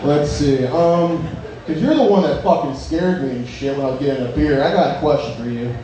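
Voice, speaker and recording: A man's voice: fairly high pitch, brisk, muffled recording, crowd noise. Crude young man doing rambling stand-up. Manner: crude, rambling, self-mocking, restarts jokes. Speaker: stand-up comedian. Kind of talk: open-mic stand-up set with crowd work. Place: bar open mic. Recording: echoey bar from far off, noisy.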